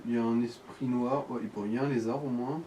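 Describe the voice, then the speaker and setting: A man's voice: mid-range voice, fairly even intonation, quick pace, mellow. A man chatting casually as he guides a player through a game, explaining from uncertain memory. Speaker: co-commentator guiding the player. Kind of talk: casual gaming chat. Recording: faint background noise.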